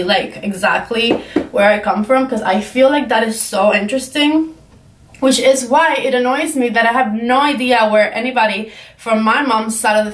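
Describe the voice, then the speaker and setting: Brief: young Cuban-accented woman vlogger, chatty and rambling, voice a bit high, recorded at a distance from the mic. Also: fairly bright tone. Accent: Cuban Spanish. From Cuba. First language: Spanish. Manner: chatty, rambling, many fillers like "um" and "like". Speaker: beauty vlogger. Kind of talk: casual chat to camera. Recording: echoey room, mic at a distance.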